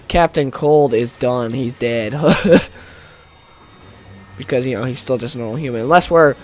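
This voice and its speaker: A young man with a stuffed-up nose, chatting casually and rambling excitedly while he plays a game. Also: mid-range voice, measured pace. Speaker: gaming YouTuber. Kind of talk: casual gameplay commentary.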